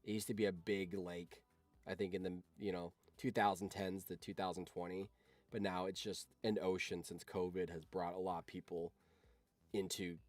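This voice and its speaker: A man's voice: low voice, level tone, moderate pace, dry recording, faint music behind. A man chatting casually on a livestream as a video editor, rambling along and swearing freely.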